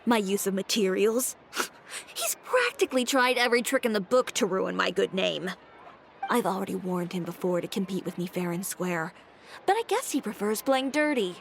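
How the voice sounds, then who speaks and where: A woman's voice: mid-range voice, slight background noise, close to an everyday voice. A woman voicing a self-assured young fashion designer in a video game, dry and assertive with a fiery edge. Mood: scornful, then coolly defiant. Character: cool, sharp-tongued young female fashion designer with fiery temper. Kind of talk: video game character acting. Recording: faint music behind the voice.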